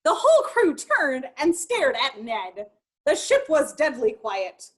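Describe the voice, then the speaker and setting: A woman reading a children's picture book aloud expressively, in measured rhyme with chatty asides. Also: sits high. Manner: expressive, measured rhyming delivery. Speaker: storytime reader.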